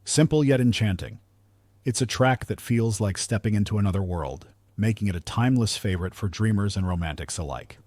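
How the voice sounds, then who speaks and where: A man's voice: lowish voice, expressive, a little fast, darkish tone, no room sound. A man reading a scripted music countdown as narrator, with upbeat, promotional phrasing.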